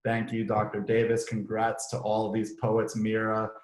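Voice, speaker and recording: A slightly hoarse man speaks in a low, flat voice, offering unscripted thanks at the close of an online ceremony. He restarts phrases often, fills pauses with ums, and sounds recorded in a roomy, echoing space. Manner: relaxed, many ums and restarts. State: slightly hoarse, clearing throat. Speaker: host of an organization's virtual ceremony. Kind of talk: unscripted closing remarks and thank-yous. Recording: echoey room.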